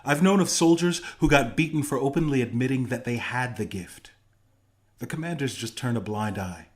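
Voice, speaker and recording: A man's voice: medium pitch, a bit bright, lightly acted. A young man playing an earnest, plainspoken griffin rebel and former soldier in a video game. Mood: grim, confiding. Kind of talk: video game character dialogue.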